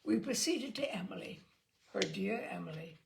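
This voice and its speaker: A woman reading a classic letter aloud as narrator, with expressive, lively storytelling. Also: mid-range voice, normal speed, gravelly, a little hiss or hum.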